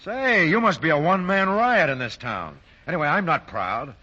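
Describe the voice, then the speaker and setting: A dull-sounding old radio recording of an upright young man. His voice is bright and fairly high, swinging widely in pitch with earnest, dramatic force. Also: sing-song, moderate pace, exaggerated voice. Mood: breezy. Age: young adult. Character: upright, indignant, responsible grown son and fiancé. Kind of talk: 1940s radio acting.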